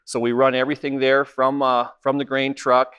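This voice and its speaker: A man's voice: medium pitch, flat delivery, moderate pace, a bit bright, clear, resonant tone, no room sound, lo-fi sound. A middle-aged man who manages a seed program gives a plain-spoken walking tour of a cleaning plant and wheat fields, with many "uh" fillers. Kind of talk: informal walk-through tour.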